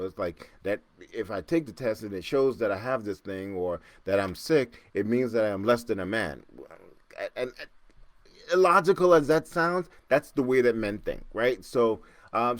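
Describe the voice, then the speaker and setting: A man's voice: on the low side, no room sound. A man in his fifties hosting a casual solo podcast, rambling and self-mocking with frequent restarts and chuckles. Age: 50s. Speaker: podcast host.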